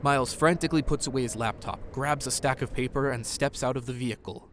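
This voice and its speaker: A man's voice: mid-range voice, quick pace, a bit bright, a little hiss or hum. Narrator: man, mid-range voice, scripted audio drama storytelling, slight background ambience.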